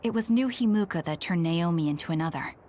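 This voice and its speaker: A woman's voice: fairly low pitch, expressive, fast, mellow, natural voice. A woman playing a serious young soldier in an English game dub, earnest and direct. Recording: faint music behind the voice.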